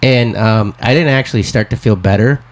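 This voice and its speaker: Slightly raspy man getting over the flu. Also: lowish voice, a little fast. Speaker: podcast co-host. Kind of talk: casual chat.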